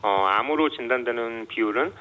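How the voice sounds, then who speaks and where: A man, a medical doctor, calmly explains medical facts in a radio interview, pausing often with fillers. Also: medium pitch. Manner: measured, explanatory, many filler hesitations. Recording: dull lo-fi radio sound.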